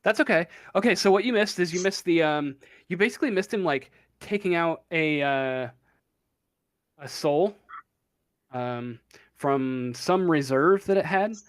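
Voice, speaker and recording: A man running a tabletop horror game as game master, narrating with many pauses and fillers. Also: on the high side, no room sound, clean studio sound. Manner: halting, many ums and uhs. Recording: online voice chat.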